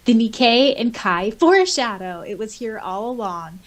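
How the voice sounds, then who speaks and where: A woman's voice: a little high, lively intonation, unhurried. A woman co-hosting a podcast, chatting informally and reading comments aloud with enthusiasm. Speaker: podcast co-host. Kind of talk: casual podcast chat, reading out listener comments.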